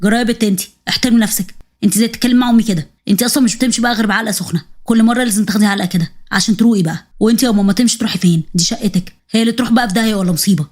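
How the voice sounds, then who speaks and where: A woman's voice: medium pitch, very fast, a little mellow, lightly acted. A woman voicing a harsh, bullying young husband, speaking in an Egyptian accent. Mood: angry. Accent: Egyptian Arabic. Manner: gruff, threatening.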